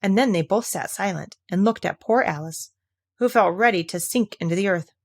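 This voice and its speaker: A woman's voice: medium pitch, normal speed. A woman narrating a children's classic, reading aloud expressively as a storybook narrator. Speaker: audiobook narrator.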